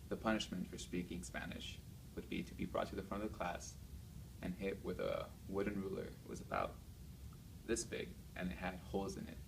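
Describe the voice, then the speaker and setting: Man, very deep voice, gravelly, interview recollection, noisy amateur recording.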